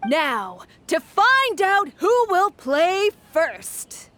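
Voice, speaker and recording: A woman's voice: very high-pitched, very animated, drawn-out words, fairly bright tone, no echo, heavily acted. A woman playing an upbeat, ceremonious tournament announcer in an anime game, drawing out her delivery.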